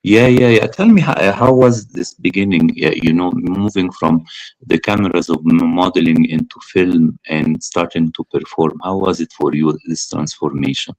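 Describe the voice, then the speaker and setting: A man from Egypt, an English speaker, hosting an interview and talking haltingly with many fillers while he searches for words. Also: sits low, a little mellow, poor audio.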